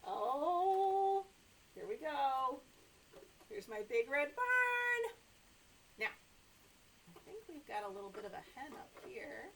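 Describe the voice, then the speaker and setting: Animated middle-aged woman reading to toddlers. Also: sits high, slow, pauses often, a little hiss or hum, rough recording. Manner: animated, exaggerated sing-song delivery for small children. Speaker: children's library story time host. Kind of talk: read-aloud story time for toddlers, talking to young children. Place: small indoor room with sofa and toys.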